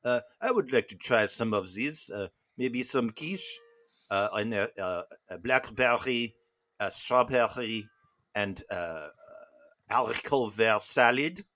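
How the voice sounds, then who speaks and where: A man voicing a pompous fake-French gentleman with a put-on French accent, halting with constant "uh" fillers. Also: mid-range voice, lively intonation. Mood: hesitant. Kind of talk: improvised comedy bit.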